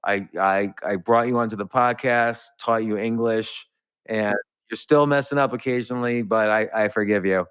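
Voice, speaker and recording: A middle-aged man hosting a podcast, musing in a halting, reflective way. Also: lowish voice, steady tone, fairly bright tone, clear, resonant tone. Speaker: podcast host. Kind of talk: casual podcast chat.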